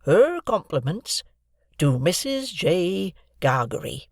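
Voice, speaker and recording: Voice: mid-range voice, very animated, no echo, studio quality, pitched above the performer's own voice. Joe, a reader putting on a rustic, earnest blacksmith. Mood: careful. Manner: rustic, halting, earnest speech. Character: simple, kindly village blacksmith, grown man. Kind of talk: audiobook character voice.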